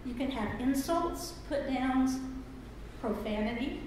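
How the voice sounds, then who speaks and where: A woman's voice: sits high, takes it slowly, a little mellow. A woman speaking at a women's seminar, teaching in an engaging, anecdotal way with self-deprecating humor. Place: seminar meeting room. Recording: very echoey room with background noise.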